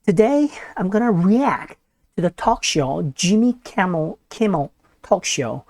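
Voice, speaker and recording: A Korean woman with a Korean accent, hosting a reaction video in halting English that slips into Korean when she searches for words. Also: medium pitch, animated, darkish tone, no room sound. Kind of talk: casual reaction commentary.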